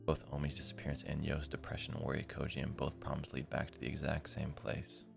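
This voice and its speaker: An extremely low-voiced man with a heavy fry in his delivery, streaming a visual novel. He moves between narrating the text and chatting informally, with music playing behind him. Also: completely flat delivery, a little fast. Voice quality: deep, gravelly. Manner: slangy, informal asides. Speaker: live streamer. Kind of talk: reading a visual novel aloud with casual commentary.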